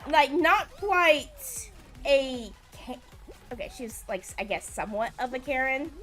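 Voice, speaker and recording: A woman host chatting casually on a game stream, her higher voice unhurried and rambling, padded with filler words. Faint music plays underneath. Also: animated, fairly bright tone. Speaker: livestream host. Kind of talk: casual chat while gaming.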